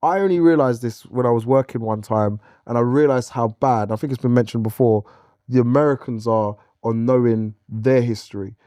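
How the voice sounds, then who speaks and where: A man's voice: mid-range voice, a little fast, dark tone, dry recording, clean studio sound. Earnest English man on a podcast panel. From England. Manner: earnest, stumbles and restarts when explaining. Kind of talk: casual panel discussion.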